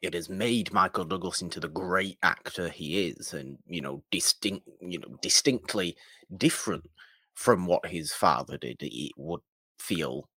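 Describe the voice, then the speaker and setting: A man co-hosting a film podcast, chatting casually in a halting, rambling way with emphatic repetition. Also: sits low, sharp tone, breathy edge, dry recording.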